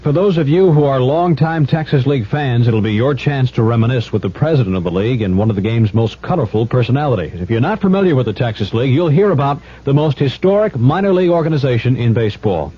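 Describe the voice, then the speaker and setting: Husky man, radio sports promo. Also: medium pitch, lively intonation, quick pace, few pauses. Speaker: radio sports show host. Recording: dull old AM radio sound.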